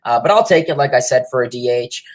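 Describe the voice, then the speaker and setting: A man's voice: mid-range voice, lively intonation, fast talker. A young man hosting a sports livestream with a cold, sniffling as he reads viewer comments and rambles with lots of fillers and restarts. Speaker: livestream host. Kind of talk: casual sports chat reading viewer comments.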